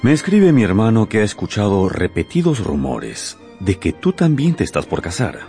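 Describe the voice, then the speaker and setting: An actor playing a teasing, affectionate old father in a Spanish-language radio drama, animated and playful. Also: on the low side, normal speed, faint music behind. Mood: teasing, probing.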